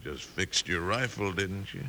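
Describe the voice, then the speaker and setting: A man's voice: low voice, animated, a little slow, pushed and bright, a little hiss or hum, heavily acted. An American man playing a ruthless, bossy cattle rustler in an old radio western, hard and domineering. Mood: menacing. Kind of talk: 1950s radio western acting.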